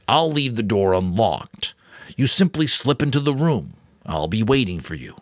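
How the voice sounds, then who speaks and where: A man voicing a thoughtful, caring old mentor in a dramatic audiobook, measured and advisory. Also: lowish voice, sing-song, dry recording, studio quality. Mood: reassuring.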